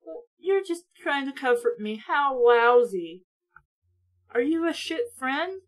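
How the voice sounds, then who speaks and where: A high-pitched female voice reading a book aloud and picking it apart. She is blunt and sarcastic, speaking as a mocking, sweary reviewer. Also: lively intonation, takes it slowly. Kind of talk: sarcastic read-along commentary.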